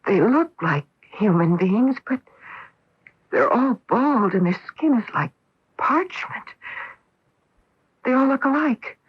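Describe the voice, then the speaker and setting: An actress playing an awestruck, wary astronaut wife in a radio drama, with a dramatic delivery. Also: female voice, a little high, lively intonation, slow, pauses often, slightly rough, exaggerated voice. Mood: fearful. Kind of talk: 1980s radio drama acting. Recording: dull lo-fi AM radio broadcast.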